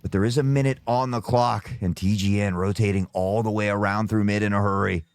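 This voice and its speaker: A male esports caster with a slightly sore throat, calling play-by-play and erupting into loud shouts on big plays. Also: lowish voice, fairly even intonation, a little fast, dry recording.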